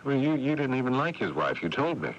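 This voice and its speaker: A man's voice: medium pitch, sing-song, quick pace, close to an everyday voice. An actor playing a level-headed but exasperated brother who narrates his story in a 1980s radio drama. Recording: muffled, noisy 1980s AM radio broadcast.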